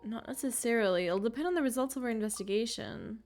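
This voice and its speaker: A woman's voice: on the high side, quick pace, a little mellow. A woman streaming a game, giving casual, light and chatty commentary in short reactions. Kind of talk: casual live commentary over gameplay. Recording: game music behind the voice.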